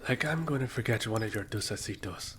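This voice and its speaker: A man's voice: on the low side, animated, fairly quick, fairly bright tone, slightly rough, heavily acted. A man playing a flirty, sweet-toothed detective in an ASMR roleplay, teasing and dropping Spanish words into his English. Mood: playful, flirty.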